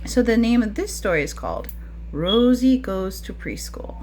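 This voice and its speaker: A woman's voice: a little high, animated, normal speed, a little mellow, slight background noise. A woman preschool teacher talking to young children during a picture-book read-aloud, with frequent questions in a teacherly way.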